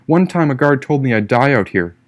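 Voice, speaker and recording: A man's voice: medium pitch, animated, fairly quick, lightly acted. A man voicing a free-spirited, teasing young woman in an audiobook, with a breezy, irreverent manner.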